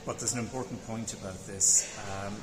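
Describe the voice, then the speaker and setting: A man, a consultant radiologist, explaining things in a measured, methodical way in a conference interview, sometimes restarting a phrase. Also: lowish voice, fairly even intonation, over music. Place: busy conference venue. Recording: echoey venue with loud ambient noise.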